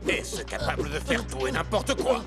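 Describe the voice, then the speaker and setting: A man's voice: on the high side, sing-song, moderate pace, over loud music. A man voicing a scheming, bitter football club boss in a cartoon dub, theatrical and conniving. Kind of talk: French cartoon dubbing.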